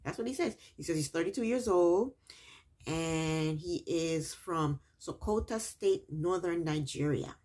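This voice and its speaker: A woman's voice: on the low side, unhurried, a little mellow. A woman recapping a reality TV episode in a casual, chatty way, with sarcastic asides and repeated punchlines.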